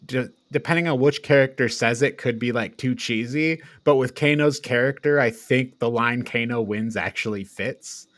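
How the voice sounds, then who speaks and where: A man's voice: medium pitch, moderate pace, hardly any pauses, fairly bright tone, no echo. A man co-hosting a podcast, retelling a film in casual, rambling, sweary chat with frequent chuckles. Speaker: podcast co-host.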